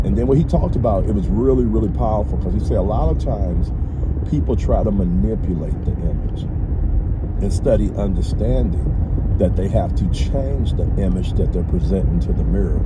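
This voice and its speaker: A middle-aged man with a very low voice talks earnestly to his viewers, like a coach, dropping "right?" after his points. He is recorded on a dashboard camera in a moving car. Loud road noise runs under everything he says. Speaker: vlogger and small business owner. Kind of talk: casual motivational vlog talk. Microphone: camera mounted on dashboard.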